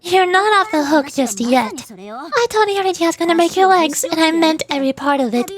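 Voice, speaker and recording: A woman's voice: very high-pitched, lively intonation, fairly quick, a crowd in the background. A woman playing a stern, teasing, proud trickster grandmother in a video game. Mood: gruff teasing. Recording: game music behind the voice.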